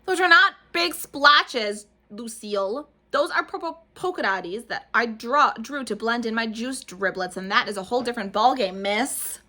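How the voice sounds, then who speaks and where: A woman doing a shrill, spunky little girl. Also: fast, hardly any pauses. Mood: upset. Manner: shrill, excited, bossy.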